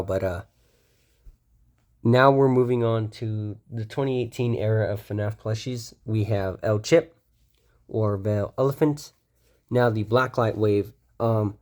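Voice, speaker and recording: A man's voice: on the low side, darkish tone. A young man casually showing off his toy collection, rambling with lots of ums and self-corrections, his voice a bit stuffy from a cold. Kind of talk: casual show-and-tell of a collection.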